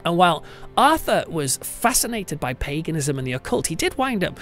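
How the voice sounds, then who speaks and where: A man's voice: fairly high pitch, sing-song, moderate pace, a bit bright. British man podcasting over music. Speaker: podcast host, church pastor. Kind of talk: reflective podcast monologue. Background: music under the voice.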